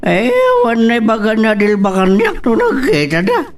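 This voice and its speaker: A man's voice: high voice, wildly swooping pitch, normal speed. A man with an Ilocano Filipino accent playing an evasive, apologetic elderly father in an audio drama, speaking hesitantly. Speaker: actor in an Ilocano radio-style drama. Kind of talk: amateur audio drama acting.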